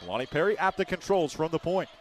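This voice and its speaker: A man's voice: on the high side, animated, quick pace. A man doing live radio play-by-play of a basketball game in clipped, descriptive phrases.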